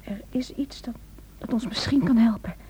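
A caring, worried wife in a radio play. Her voice is fairly high yet mellow and breathy, and she speaks softly with some hesitation. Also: normal speed. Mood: hushed, confiding. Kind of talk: radio drama acting.

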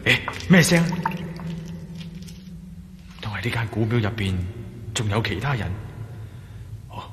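A man's voice: on the low side, animated, a little slow, a bit bright. A man with a Hong Kong Cantonese accent playing a wary, curious adventurer in a radio drama, theatrically thinking aloud to himself. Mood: wary, puzzled. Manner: theatrical, exclaiming and musing to himself. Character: wary, curious male adventurer exploring a dark ancient temple. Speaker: radio drama lead actor. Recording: echoey long reverb, clearly noisy.

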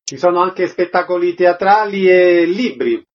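Radio interviewer, male. Slightly high, raspy voice, concise presenting style, dull lo-fi sound. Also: unhurried. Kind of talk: radio interview, short questions and scripted introductions. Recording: muffled lo-fi radio audio.

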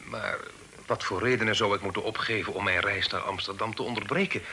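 An actor playing an honest, dutiful young gentleman in a period radio drama, speaking formally and earnestly. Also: fairly low pitch, fairly even intonation, a little fast, creaky, heavily acted. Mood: earnest, principled. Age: young adult. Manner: earnest, formal, sometimes hesitant. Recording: noisy old radio drama recording.

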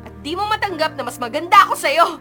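A woman's voice: extremely high pitch, animated, fast talker, pushed and bright, soft background music, heavily acted. An actress playing a feisty, indignant young female student in a Filipino radio drama, with an emotional, dramatic delivery. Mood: angry, tearful. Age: young adult.

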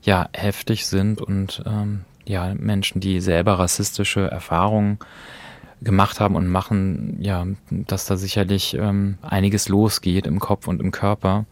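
A man hosting a cultural podcast, speaking earnestly in careful, measured and reflective phrases. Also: deep voice, level tone, dry recording. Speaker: podcast host introducing radio plays.

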